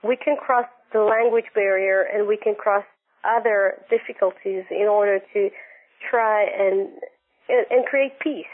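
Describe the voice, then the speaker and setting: A woman whose first language is Hebrew talks over a narrow, tinny telephone line at an everyday pitch. She speaks thoughtfully and haltingly, restarting phrases as she searches for words. It has the feel of a filmmaker interviewed by phone. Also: fairly even intonation. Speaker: film director and journalist.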